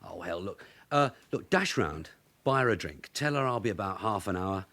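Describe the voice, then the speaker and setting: A shrewd veteran English detective sergeant in a British radio drama. He is brisk and wry, with a very lively, varied pitch. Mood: hurried, bossy.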